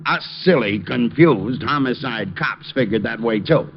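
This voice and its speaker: An actor playing a sarcastic, exasperated homicide cop with an American accent in 1940s radio drama style. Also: male voice, mid-range voice, animated, measured pace, creaky. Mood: sarcastic explaining. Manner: sarcastic, needling. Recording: muffled noisy old radio recording.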